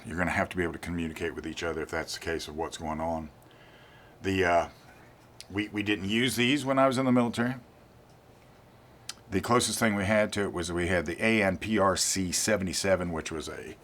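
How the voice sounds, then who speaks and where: An older man with a low-pitched voice hosts an informal gear review. He talks straight to his viewers in a deliberate, emphatic way, repeating words to drive a point home. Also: fairly bright tone. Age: 50s or older. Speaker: product reviewer, channel host.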